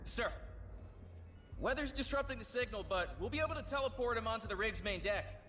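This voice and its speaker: A man's voice: very high-pitched, expressive, fairly quick, a little hiss or hum. An actor playing a dutiful male military technician reporting to a superior in a video game cutscene. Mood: urgent, businesslike. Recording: distant, long reverb, very loud music.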